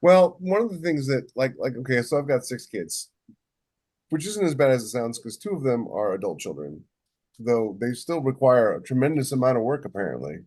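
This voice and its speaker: A man's voice: mid-range voice, big pitch swings, normal speed, darkish tone, slightly rough. A middle-aged man talking candidly and in a rambling way on a casual podcast, as a co-host who writes novels.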